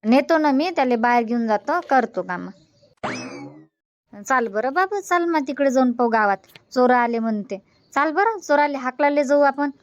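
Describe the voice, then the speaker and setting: A woman's voice: high voice, expressive, normal speed. A woman voicing a homely older village mother-in-law in a cartoon comedy, chatty and instructing, with a Varhadi Marathi accent. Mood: coaxing. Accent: Varhadi Marathi from Vidarbha. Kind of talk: amateur cartoon comedy dubbing in village dialect.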